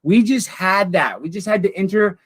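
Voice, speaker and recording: A man's voice: high-pitched, animated, normal speed, a bit bright, husky, dry recording, studio quality. A man hosting a livestream, chatting with his viewers in a goofy, rambling way. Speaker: livestream host. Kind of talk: casual livestream chat.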